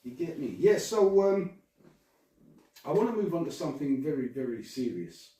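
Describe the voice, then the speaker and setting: A man's voice: a little high, big pitch swings, moderate pace, far from the mic, noisy background. A man hosting a casual podcast chat, rambling, laughing and swearing casually. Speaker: podcast host. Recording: very echoey room, off-mic.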